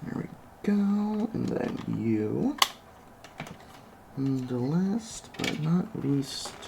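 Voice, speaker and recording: A soft-spoken repair technician in an ASMR roleplay, talking slowly with a creaky, gravelly edge. The murmured, hesitant step-by-step narration swings up and down in pitch. A steady fan hum and small clicks sit clearly behind the voice. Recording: constant fan noise, clicks and chair creaks. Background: computer fan hum, clicking and typing.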